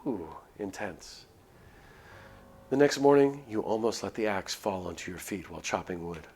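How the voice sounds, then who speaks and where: A man's voice: fairly low pitch, animated, normal speed, darkish tone, slight background noise. A man reading a visual novel aloud on a live stream, moving between dramatic narration and casual asides.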